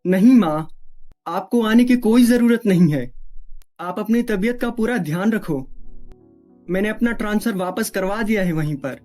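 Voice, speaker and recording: A woman's voice: medium pitch, moderate pace, dark tone, faint music behind, lightly acted. A woman playing a dutiful, firm young husband and son in an earnest family story. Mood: caring.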